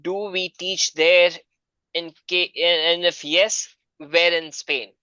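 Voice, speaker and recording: A man's voice: high-pitched, steady tone, slow pace, pushed and bright, smooth, no echo, highs cut off. A man hosting an online seminar, polite and hesitant, with many fillers. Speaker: seminar host and moderator. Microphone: video call.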